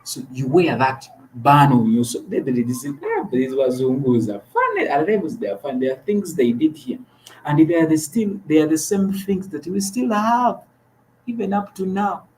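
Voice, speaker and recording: A man's voice: a little high, expressive, moderate pace, darkish tone, slight background noise, rough recording. A man from Uganda co-hosting a talk show, giving earnest political commentary and often repeating words as he restarts.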